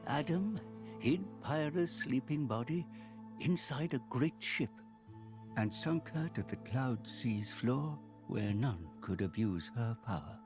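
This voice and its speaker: A wise old grandfather titan from a fantasy game, grave and kindly. He speaks slowly and in a stately way, under loud string music. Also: medium pitch, animated. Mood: grave, reflective. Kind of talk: video game cutscene voice acting.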